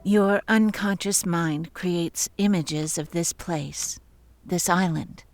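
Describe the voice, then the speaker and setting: Measured older female psychiatrist, lowish voice. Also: soft background music. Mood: calm, probing. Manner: calm, clinical, measured. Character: sixty-year-old female psychiatrist, impassive, measured, probing. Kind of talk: audio drama acting.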